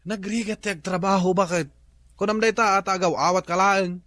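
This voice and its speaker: A man's voice: high-pitched, steady tone, brisk, fairly bright tone, no echo. A man playing a stingy, grumbling husband with an Ilocano Filipino accent in a comedy radio drama. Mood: grumbling, insistent.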